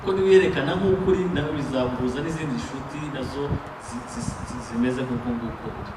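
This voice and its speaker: A man interpreting a sermon line by line, halting, with fillers and restarts. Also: mid-range voice, distant mic. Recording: echoey room, picked up from afar, noisy.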